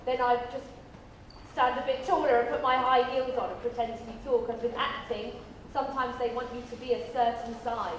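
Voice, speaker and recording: A woman's voice: a little high, steady tone, brisk. A woman, an actor, answering audience questions casually and playfully on a live panel. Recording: very echoey venue, background noise.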